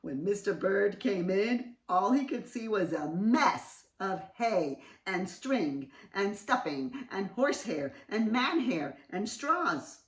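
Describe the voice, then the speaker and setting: Very animated, slow-paced woman storyteller. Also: mid-range voice. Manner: expressive, dramatic emphasis. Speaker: children's read-aloud host. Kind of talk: storytelling for young children with questions. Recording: roomy echo, distant mic.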